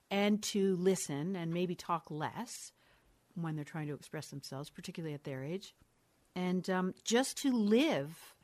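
A middle-aged woman, a mother of three, talking candidly and thoughtfully as an interview guest, often restarting her sentences. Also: on the low side. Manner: candid, thoughtful, frequent self-corrections and laughs. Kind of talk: reflective conversational interview.

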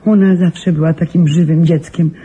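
An actress playing a very old, confused and stubborn woman in a radio drama, rambling insistently in a frail, husky voice. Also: deep voice, fairly even intonation, moderate pace, warm tone, lo-fi sound. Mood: plaintive, confused. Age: older.

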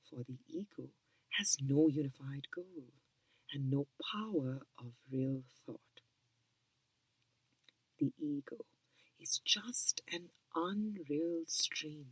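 Low, breathy whispering woman reader. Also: no room sound, low-quality recording. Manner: whispering, calm and unhurried. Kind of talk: soft whispered reading of a spiritual book.

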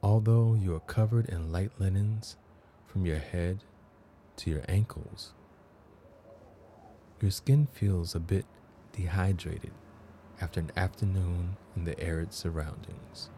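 A man narrating a guided meditation as host, in measured phrases with long pauses between them. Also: sits low, mellow, slight background noise.

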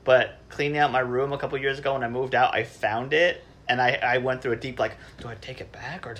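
A man's voice: medium pitch, steady tone, a little fast, fairly bright tone. A man guesting on a podcast, chatting in a jokey way and acting out bits of imagined dialogue. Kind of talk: casual comedic chat.

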